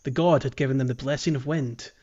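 A man with a mid-pitched voice hosts a gaming podcast in a casual, conversational style. The recording is a little dull and lo-fi. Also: fairly even intonation, fast talker, dark tone. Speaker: podcast host. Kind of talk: casual game walkthrough chat, some reading aloud.

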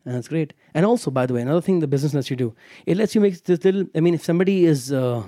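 A man, a teacher chatting frankly and with animated emphasis as a podcast guest. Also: fairly high pitch, racing, dry recording, studio quality. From Pakistan. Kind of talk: casual podcast conversation. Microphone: headphones on, studio mic.

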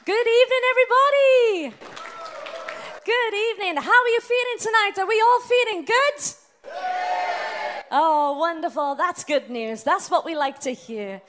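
A singer from South Wales with a Welsh accent, chatting and laughing with a live audience between songs. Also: female voice, very high-pitched, expressive, measured pace, background noise. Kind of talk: live stage patter and singing. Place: live venue with a band. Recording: live music behind. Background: music.

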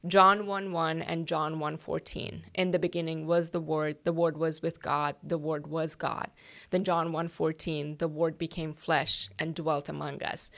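A woman's voice: sits low, level tone, unhurried, gravelly, fairly bright tone. A young woman from Iran with a slight Persian accent, earnestly telling her story as a podcast guest, with frequent ums. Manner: earnest, hesitant with many ums. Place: office.

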